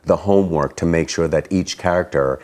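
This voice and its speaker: Actor guy, super deep voice, calm and thoughtful. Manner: measured, thoughtful explaining. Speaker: stage actor guest. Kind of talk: casual interview chat.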